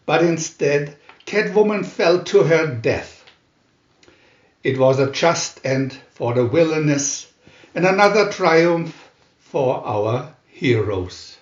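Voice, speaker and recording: Male narrator, theatrical storybook reading, slightly high pitch, unhurried, echoey dull recording. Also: expressive. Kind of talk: dramatic scripted storybook reading. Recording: dull lo-fi, roomy echo.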